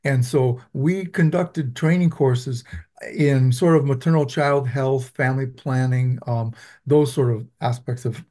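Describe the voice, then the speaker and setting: A man from Venezuela who teaches public health, answering interview questions in a measured, explanatory way with frequent ums. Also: mid-range voice, dark tone. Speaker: public health professor, guest.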